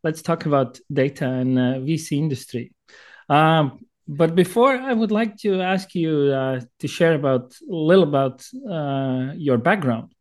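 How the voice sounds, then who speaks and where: A man's voice: a little high, unhurried. A man hosting an interview podcast, presenting and talking with his guest. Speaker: podcast host.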